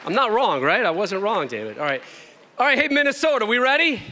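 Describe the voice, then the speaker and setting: A man hosting a ceremony, loud and joking, excitable as he teases an honoree. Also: high voice, brisk, a loud crowd, very noisy. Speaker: host.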